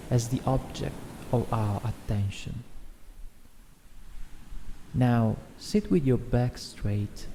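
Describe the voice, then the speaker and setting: A man guiding a meditation in a gentle, soothing, instructional way, reading as scripted narration. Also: medium pitch. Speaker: meditation guide. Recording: faint background noise, ambient music. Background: soft ambient music.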